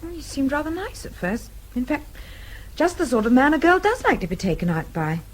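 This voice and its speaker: High-voiced, fretful young British woman. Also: sing-song, a little fast, rough recording. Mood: peevish, dismayed. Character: naive, highly strung young British woman. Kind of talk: radio drama acting.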